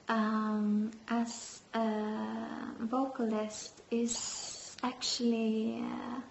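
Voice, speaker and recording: A woman vocalist answering interview questions, halting with many fillers. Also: a little high, vocal fry, a little mellow, a little hiss or hum. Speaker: metal band vocalist. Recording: roomy, dull lo-fi sound.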